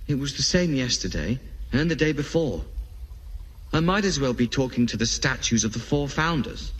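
A man's voice: medium pitch, lively intonation, normal speed, a bit bright, rough recording. An actor playing a frustrated young male apprentice, narrating in the first person in an audio drama.

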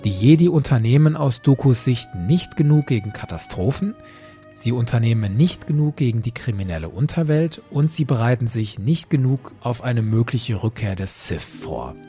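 A man hosting a podcast with scripted, explanatory narration in a husky voice. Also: medium pitch, animated, normal speed, warm tone, faint music behind. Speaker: podcast host.

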